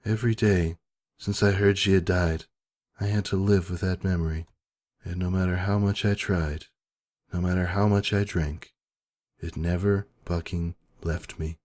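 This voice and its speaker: A man's voice: deep voice, fairly even intonation, moderate pace, a little mellow. A man reading scripted first-person story narration in a dramatic, emotive way.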